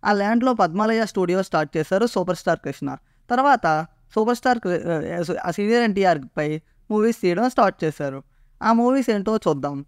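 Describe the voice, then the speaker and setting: A man's voice: sits high, expressive, fast, smooth, no room sound, studio quality. A man presenting a scripted explainer as a video narrator.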